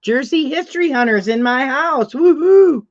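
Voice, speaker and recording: A middle-aged woman with a deep voice, hosting a casual livestream chat, chatty and laughing often as she reads out comments. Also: animated, moderate pace, highs cut off. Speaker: livestream host.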